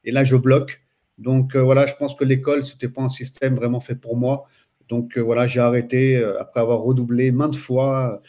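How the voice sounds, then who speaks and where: A man in his forties from France sits as a guest and tells his story in a relaxed, searching way. He talks at an ordinary middle pitch and keeps pausing to find his words. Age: 40s. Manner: hesitant, frequent pauses and fillers. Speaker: guest, Islamic studies teacher. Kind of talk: casual interview chat.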